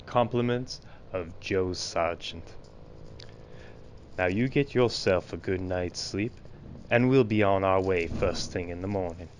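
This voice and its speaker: An actor playing a small-town bus driver in a radio play, folksy and overly polite with an obliging manner that rings false. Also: male voice, sits low, unhurried, a little hiss or hum.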